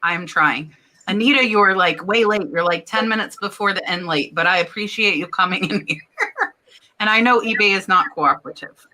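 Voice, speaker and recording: A woman's voice: mid-range voice, moderate pace, pushed and bright, low-quality recording. A woman from Florida hosting a live stream chat, talking casually, laughing and reading out viewer comments. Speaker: host.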